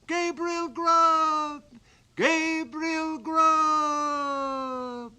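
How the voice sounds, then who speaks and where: Brief: eerie goblin chorus, high, stretched, off in the distance. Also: male voice, fairly even intonation, bright, forceful tone, full character voice. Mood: eerie chanting. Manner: high, drawn-out calling from far off. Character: wild chorus of goblin voices.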